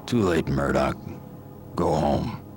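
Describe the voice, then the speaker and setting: An actor playing a grim, stoic vigilante in a video game, terse and deadpan with a gravelly voice. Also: male voice, very deep voice, takes it slowly, exaggerated voice. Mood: cold, dismissive. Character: grim, stoic male vigilante, curt and menacing. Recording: music behind the voice.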